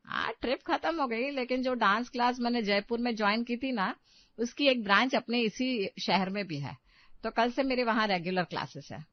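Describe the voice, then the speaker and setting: A woman's voice: a little high, normal speed, no echo, lightly acted. A woman acts out an older mother-in-law in a domestic audio tale. She is defiant and self-possessed, with a curt, sarcastic edge. The sound is very dry and a little muffled. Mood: calm, firm.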